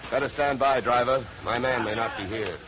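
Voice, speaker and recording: An actor playing a wry, hard-boiled insurance investigator in a 1950s American radio drama, narrating and talking in a dry, clipped, wisecracking way. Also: male voice, medium pitch, fairly quick, lightly acted. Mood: brisk, dry. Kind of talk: 1950s radio detective drama, first-person narration and dialogue. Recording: dull, lo-fi 1950s radio recording.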